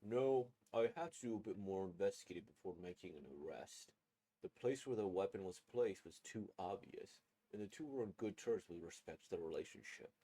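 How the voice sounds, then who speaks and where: A man plays a stern, guarded grown man in a horror manga. He speaks firmly and deliberately in a slightly low voice, as if probing a student for answers. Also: animated, dark tone, low-quality recording.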